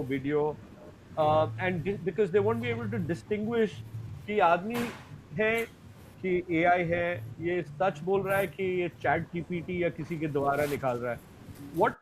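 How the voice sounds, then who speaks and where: A man's voice: high voice, a little hiss or hum, poor audio. A man hosting a panel discussion, reflective and mixing in Hindi. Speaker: podcast host.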